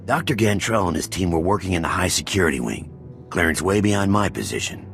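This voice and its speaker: An actor playing a capable, helpful technical officer in a video game, briskly giving information. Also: male voice, low voice, expressive, creaky, a bit bright, soft background music, heavily acted. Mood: focused, concerned. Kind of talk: video game briefing dialogue.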